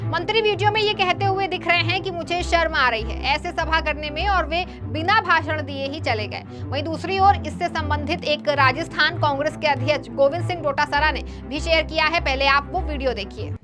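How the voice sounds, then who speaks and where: A woman's voice: sits high, brisk, few pauses. A woman news presenter reading scripted political news narration. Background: background music.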